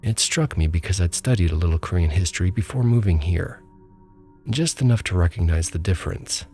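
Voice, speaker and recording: A man narrating a first-person ghost story in a calm, measured storytelling voice. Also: sits low. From small town abroad. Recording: faint music bed behind.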